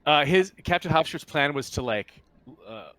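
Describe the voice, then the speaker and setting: A man narrating a tabletop roleplaying game as game master in a halting, conversational way. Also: a little high, animated, bright, forceful tone, no echo.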